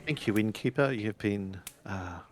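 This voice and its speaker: A man's voice: lowish voice, normal speed, lightly acted. A man playing a courteous samurai-era investigator in a tabletop game, speaking formally and hesitantly.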